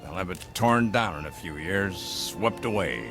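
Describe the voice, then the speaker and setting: An actor playing an eccentric older gentleman, a secretive mentor with a grandiloquent, fatherly manner in a theatrical radio drama. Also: low-pitched, expressive, measured pace, music in the background. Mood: genial, knowing. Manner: grandiloquent, avuncular, emphatic. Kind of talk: theatrical audio drama acting.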